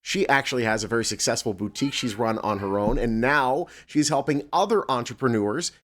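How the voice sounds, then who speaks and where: A casual, chatty man co-hosts a TV talk show, quick to react with "wow". His voice sits at a middle pitch for a man. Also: a bit bright.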